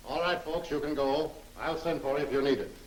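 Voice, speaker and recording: Gruff 1940s police official, played by a man. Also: fairly high pitch, fairly even intonation, fairly quick, natural voice. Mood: brusque, suspicious. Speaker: actor in a film serial. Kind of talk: 1940s film serial acting. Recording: noisy 1940 film soundtrack.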